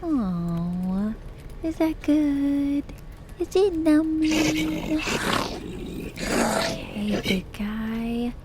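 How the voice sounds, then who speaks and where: An actress playing a tender animal keeper in an audio drama, coaxing softly and informally. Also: female voice, high voice, animated, drawn-out words, long pauses, heavy background noise, exaggerated voice.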